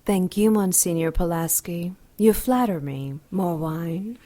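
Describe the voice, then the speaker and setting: A woman's voice: lowish voice, a little slow, dark tone, lightly acted. An actress playing a seductive, commanding demon queen with a silky, teasing manner in an audio drama. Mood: flirtatious. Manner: silky, teasing, self-assured.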